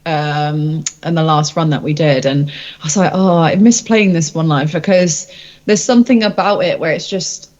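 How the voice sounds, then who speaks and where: A woman's voice: deep voice, moderate pace, a little mellow, dull audio. A woman chatting casually as an interview guest, with an informal, rambling, giggly manner. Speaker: rock band singer interviewed as guest. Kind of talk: casual chat.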